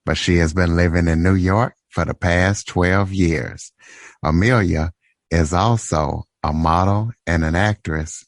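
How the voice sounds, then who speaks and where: A man hosting an interview podcast, asking steady questions with small restarts. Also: deep voice, no room sound. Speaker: podcast host.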